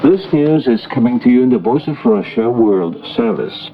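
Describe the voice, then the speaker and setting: A dull, lo-fi shortwave broadcast with faint noise carries a man's voice. He reads an international news bulletin as a radio newsreader. His delivery is formal, scripted and measured. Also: medium pitch, animated. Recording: noisy, muffled shortwave radio broadcast recording.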